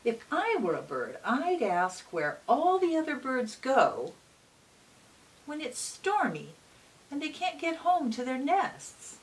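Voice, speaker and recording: A woman reading a picture book aloud to young children in a gentle, teacherly manner, often pausing to put questions to them. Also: high-pitched, wildly swooping pitch. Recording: distant mic with faint hiss.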